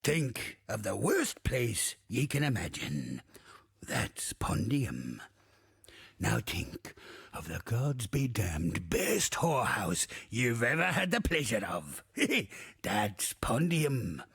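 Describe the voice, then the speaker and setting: Crusty old ghost pirate captain for a game, super raspy, swings all over, crude and boastful. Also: male voice, medium pitch, sing-song, takes it slowly, fairly bright tone, no room sound, studio quality, full character voice. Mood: relishing, bawdy. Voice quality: gravelly, husky, raspy. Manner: archaic pirate dialect, swaggering, theatrical, cursing. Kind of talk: video game character acting.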